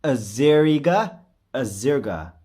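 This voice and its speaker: A man's voice: mid-range voice, lively intonation, slow pace, dark tone. A man chatting casually as a video creator, rambling in a jokey, self-deprecating way. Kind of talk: casual rambling vlog chat.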